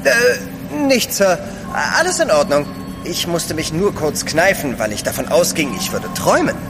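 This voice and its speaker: A loyal, bumbling manservant in a radio play. His high voice swings wildly in pitch, eager and deferential. Music plays clearly underneath. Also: very animated, measured pace. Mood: flustered. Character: excitable, loyal, clumsy manservant. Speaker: actor. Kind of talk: German audio drama acting.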